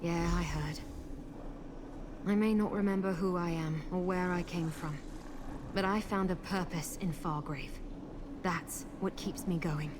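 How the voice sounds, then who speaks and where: Actress voicing a determined game heroine, low-ish voice, steady and earnest, noisy game mix. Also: female voice, measured pace, pauses often, natural voice. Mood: resolute. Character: resolute woman protector with lost memory. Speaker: game character, city protector ally. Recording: game audio with background noise.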